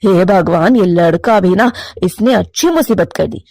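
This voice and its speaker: A woman's voice: fairly low pitch, a little slow, darkish tone, no echo, voice pushed down. A woman voicing a worried, long-suffering middle-aged mother crow in a cartoon story, fretful and motherly. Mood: fretful, grumbling. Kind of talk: animated cartoon moral story.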